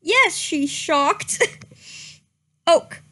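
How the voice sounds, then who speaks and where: Young woman streamer, high pitched and unhurried, doing a giggly mock-dramatic reading that trips over words. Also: expressive, bright, forceful tone.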